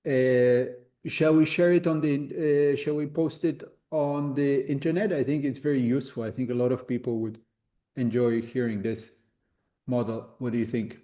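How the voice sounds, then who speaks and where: A man's voice: mid-range voice, fairly even intonation, moderate pace, mellow. A man from Poland hosting a casual video call and thanking his guest. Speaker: host.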